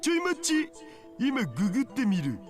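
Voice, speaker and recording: High, slangy young geek guy. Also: huge pitch swings, fast, full character voice. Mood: casual, puzzled. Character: laid-back geeky young male hacker friend. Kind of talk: Japanese visual novel voice acting. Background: game music.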